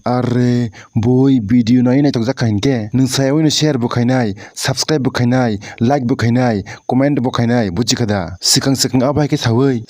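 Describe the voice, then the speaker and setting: Male video commentator, mid-pitched, dry close recording; casual, crude, mocking rant. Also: no echo. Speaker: video creator reacting to prank clips.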